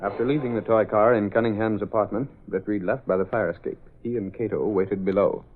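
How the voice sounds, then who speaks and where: A man's voice: fairly low pitch, steady tone, a little fast, loud music behind the voice. A man narrating a 1930s radio adventure drama in a scripted storytelling style. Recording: muffled old-time radio recording.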